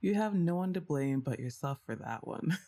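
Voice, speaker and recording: A young woman performing an ASMR roleplay as her best friend's playful, teasing older sister, speaking softly. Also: low-pitched, lively intonation, moderate pace. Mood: affectionate. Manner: playful, teasing, soft-spoken.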